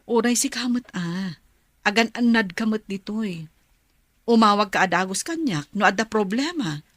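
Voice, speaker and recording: A woman's voice: mid-range voice, expressive, normal speed, a bit bright, no room sound. A woman acting a caring but firm middle-aged mother in an Ilocano radio drama, emotive, with a Filipino accent. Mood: tender.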